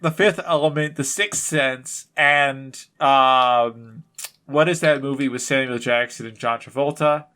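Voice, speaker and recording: A male podcast co-host with a medium-pitched voice chats casually and comically. He rambles and wanders off on playful tangents, keeping the talk loose and jokey. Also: a little slow, sharp tone, smooth. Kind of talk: casual comedic banter.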